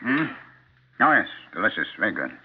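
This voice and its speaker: A man's voice: fairly low pitch, very animated, a little fast, breathy edge. An actor playing a troubled, irritable businessman in a 1970s radio drama, edgy and defensive. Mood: withdrawn, distracted. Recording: narrow-band 1970s radio broadcast.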